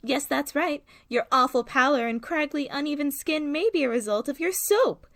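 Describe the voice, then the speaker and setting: A woman's voice: high voice, expressive, moderate pace, a bit bright, no room sound. A woman playing a polished, cheerfully blunt saleswoman reading an old-time radio commercial in a presentational, salesy way. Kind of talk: parody 1940s radio commercial.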